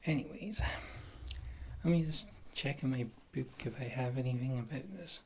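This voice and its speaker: A performer playing a wry, condescending witch healer in a whispered ASMR fantasy roleplay, with a soft, breathy voice and a halting delivery. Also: mid-range voice, slight background noise, lightly acted. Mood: wry, unhurried. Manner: slow, halting, dryly disdainful.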